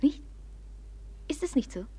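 An actress playing a proud, impulsive, jealous young woman of good family in a classic German radio play, her manner volatile and demanding, her voice breathy. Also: unhurried, dark tone, close to an everyday voice. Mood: earnest, confiding. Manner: imperious, volatile, swinging from confiding to accusing.

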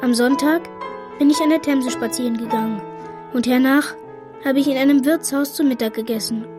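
A woman's voice: sits high, moderate pace, over music, lightly acted. A woman playing a polite, earnest young orphan boy in a radio drama, speaking in a formal, well-mannered way. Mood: earnest, wistful.

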